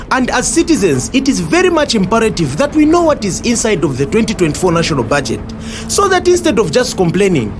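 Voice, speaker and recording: Man playing an earnest, high-voiced Zambian bus passenger. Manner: emphatic, explanatory, repeats himself. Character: earnest, well-informed male bus passenger explaining patiently. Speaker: actor in an audio drama. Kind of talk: acted audio drama dialogue.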